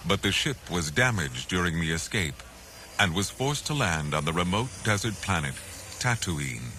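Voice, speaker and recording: A man reading a scripted children's adventure story as narrator, in a dramatic, measured way. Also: deep voice, very animated, pushed and bright, slight background noise, poor audio.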